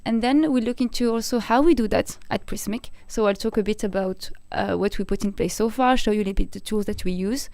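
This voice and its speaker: Woman cohosting a meetup stream, chatty, keeps restarting her sentences, crisp voice. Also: medium pitch, a little fast, few pauses, darkish tone, no echo. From Morocco. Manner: chatty, restarts phrases, searches for words. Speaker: co-host of a company meetup livestream. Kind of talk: casual chat then outlining the event.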